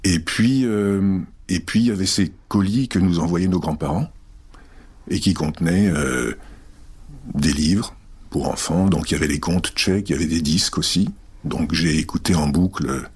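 A middle-aged man whose first language is Czech, answering interview questions reflectively with many pauses and hesitations. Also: deep voice, breathy edge. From France. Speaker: guest.

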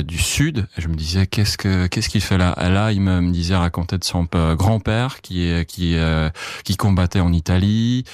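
A man speaks in a deep, low voice, pausing often and restarting his sentences. The sound is dry and close, with no room around it. He answers questions as a journalist. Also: darkish tone, smooth, dry recording. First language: Czech. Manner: hesitant, many fillers and restarts. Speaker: journalist, correspondent in France. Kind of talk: interview answers.

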